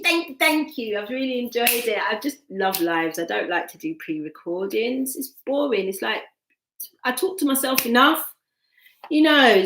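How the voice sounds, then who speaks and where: A woman giving a live tarot reading from home on a laptop mic, veering from excited outbursts to soft murmuring. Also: medium pitch, slight background noise. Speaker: tarot reader. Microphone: laptop microphone. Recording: echoey room, laptop mic.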